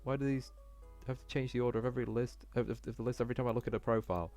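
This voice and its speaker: A man's voice: mid-range voice, level tone, rapid-fire, warm tone, soft background music, close to an everyday voice. A man voicing an anxious, self-doubting young cat student who talks fretfully to himself in a visual novel. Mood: frustrated, dismayed.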